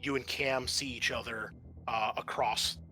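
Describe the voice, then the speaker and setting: Bright-voiced man running a tabletop game. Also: medium pitch, fairly even intonation, a little slow, soft background music. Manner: conversational, explanatory, improvised narration. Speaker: game master. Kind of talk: running a tabletop roleplaying game, explaining turns and narrating.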